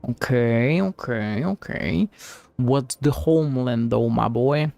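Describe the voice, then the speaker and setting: Gravelly man reacting to a game. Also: medium pitch, slow, darkish tone. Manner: playful, muttering asides and echoing game dialogue. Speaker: game streamer. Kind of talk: casual live reaction commentary over a game.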